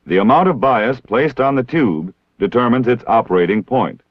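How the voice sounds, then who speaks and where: An American man narrating a scripted training film in a formal, measured, precisely enunciated way. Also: mid-range voice, expressive, no echo. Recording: dull 1960s film soundtrack.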